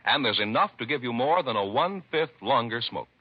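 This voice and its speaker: A man working as a 1950s radio announcer, reading scripted introductions and ads with deliberate emphasis. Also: mid-range voice, expressive, husky. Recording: lo-fi vintage radio, loud music.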